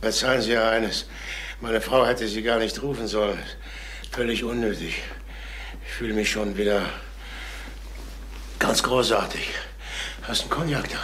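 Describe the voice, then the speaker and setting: An actor playing a tired, defensive salesman in a German crime radio play, speaking brusquely, evasively and haltingly. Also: fairly low pitch, steady tone, fairly bright tone, close to an everyday voice. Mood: shaken, apologetic. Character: tired, irritable male salesman, shaken and defensive. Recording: faint noise on old radio recording.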